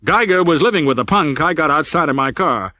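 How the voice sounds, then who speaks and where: A man's voice: fairly high pitch, animated, brisk, sharp tone, no echo, studio quality, voice pushed up. A man voicing a brash, wry, tough-talking male police detective in an audiobook crime story. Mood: pleased, explanatory.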